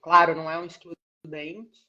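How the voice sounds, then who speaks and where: A woman's voice: low-pitched, flat delivery, moderate pace, pushed and bright, dull audio. A middle-aged woman with a mixed Rio and São Paulo accent, chatting casually as an interview guest, rambling a little and often adding tag questions. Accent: Brazilian, mixed Rio and São Paulo. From Brazil, born in Rio and raised partly in São Paulo state. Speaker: interview guest, lawyer with own practice. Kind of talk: casual live conversation. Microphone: internet video call.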